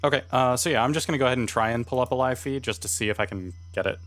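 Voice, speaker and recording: A man's voice: medium pitch, racing, fairly bright tone. A man chatting casually as a player in a tabletop roleplaying game.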